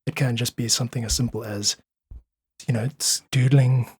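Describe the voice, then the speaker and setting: A man with a husky voice, recorded close and dry. He talks casually but reflectively, as a musician guest who leans earnestly on his points. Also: mid-range voice, steady tone, normal speed, a little mellow, dry recording. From South Africa. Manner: earnest, philosophical, emphatic repetition. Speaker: podcast guest, independent musician.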